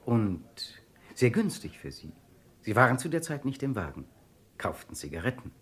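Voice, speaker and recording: An actor playing a methodical police detective in a German crime radio play, terse and commanding. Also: male voice, medium pitch, sing-song, normal speed, slightly rough. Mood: pressing, stern. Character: methodical, insistent male police detective leading a murder case.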